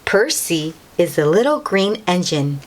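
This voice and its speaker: A woman's voice: on the low side, very animated, a little slow. A woman hosting a children's read-aloud, reading a picture book in a teacherly way, repeating and stressing key words and talking directly to the young listener.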